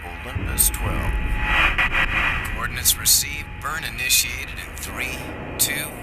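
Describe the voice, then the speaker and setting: A man playing a calm spaceflight crewman, giving terse, matter-of-fact procedural calls in a sci-fi game cutscene. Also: medium pitch, takes it slowly, natural voice. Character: calm procedural male spaceflight mission controller or pilot. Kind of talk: terse radio-call dialogue in sci-fi cutscene. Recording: loud music over the voice.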